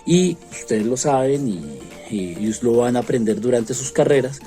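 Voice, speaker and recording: Earnest Colombian man, mellow voice. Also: medium pitch, moderate pace, faint music behind. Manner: earnest, frequent hesitation fillers. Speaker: French teacher, interviewed expert. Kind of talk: explanatory monologue to students.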